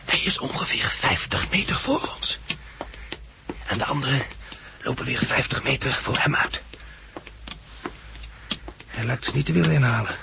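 A man's voice: medium pitch, animated, moderate pace, gravelly, long pauses. An actor with a Netherlands Dutch accent playing a resolute, practical man who leads blind disaster survivors in a 1960s radio drama. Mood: hushed, tense. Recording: noisy, clicky 1960s radio recording.

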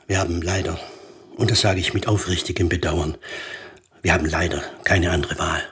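Ernster Machthaber, eher tief. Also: male voice, deep voice, steady tone, fairly quick, fairly bright tone, husky, heavily acted. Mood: grave, resolute. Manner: formal, solemn, emphatic. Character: grave, resolute male government official giving orders. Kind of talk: radio drama acting.

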